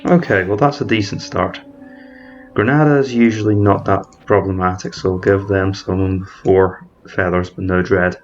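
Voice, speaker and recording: A man with a rather low voice walks through his strategy game campaign, thinking aloud and catching his own slips mid-word. His voice-over sounds dull and lo-fi, with a faint hiss underneath. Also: steady tone, moderate pace. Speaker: strategy game let's-player. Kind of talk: explanatory gameplay commentary.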